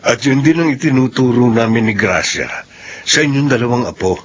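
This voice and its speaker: Good natured respectful husband in a radio drama, expressive swingy voice, muffled audio. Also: male voice, mid-range voice, a little fast, slightly rough. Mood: respectful, sympathetic. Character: good-natured, forgiving husband and dutiful son. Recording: dull lo-fi audio with background noise.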